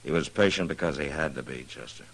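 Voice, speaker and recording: Laconic lawman, bottom-deep voice, concerned and steady, vintage radio drama with faint noise. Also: fast talker, vocal fry, full character voice. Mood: somber. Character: steady, concerned frontier marshal in the Old West. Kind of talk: 1950s radio western acting. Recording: noisy old radio drama recording.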